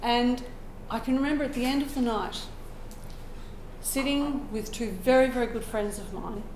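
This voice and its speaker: A woman with an Australian accent, croaky from a cold, telling an earnest personal story to a live audience and clearing her throat now and then. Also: a little high, moderate pace, a little mellow, reverberant, a little hiss or hum, rough recording. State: hoarse from a cold. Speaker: open mic storyteller.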